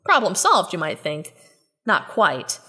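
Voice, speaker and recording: A woman's voice: lowish voice, huge pitch swings, a little slow, bright, forceful tone, breathy edge, full character voice. An actress playing a young woman recounting adventures in an audio drama, with chatty, wry asides to the listener. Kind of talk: conversational first-person audio drama narration.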